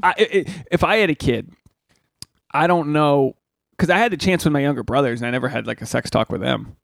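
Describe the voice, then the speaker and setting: A man's voice: a little high, brisk, vocal fry, no echo, studio quality. A man in his thirties hosting a podcast, telling crude, rambling stories in a casual comedic chat. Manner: crude, rambling, animated storytelling. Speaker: podcast host.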